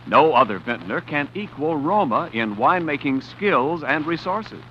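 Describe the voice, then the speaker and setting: Man, vintage radio announcer, smooth sales pitch, lively pitch, muffled old broadcast. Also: moderate pace, a little hiss or hum. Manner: polished, salesman-like. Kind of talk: 1940s radio sponsor announcement.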